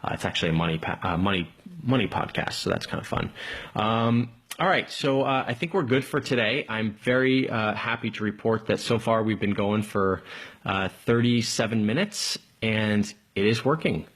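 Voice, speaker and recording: Man, rather low voice, a bit husky, casual live-stream host, chatty and rambling, enthusiastic. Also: moderate pace, fairly bright tone. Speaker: live tech show host.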